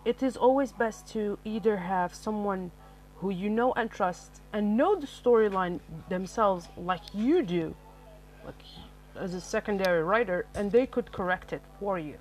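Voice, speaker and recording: Woman podcast host, native Arabic speaker, middle-pitched voice, rambling solo talk with faint music under it. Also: a little slow, darkish tone, poor audio. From Algeria. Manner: rambling, self-correcting, lots of fillers.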